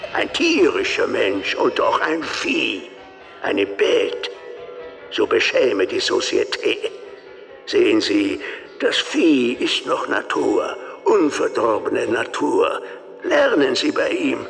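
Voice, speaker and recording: An actor playing a grandiose fairground barker in a radio drama, declaiming like a showman with a gravelly voice. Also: medium pitch, big pitch swings, a bit bright, faint music behind, exaggerated voice. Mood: showy, mocking. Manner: declamatory, swooping, drawn-out showman delivery. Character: grandiose fairground barker showing off a trained beast.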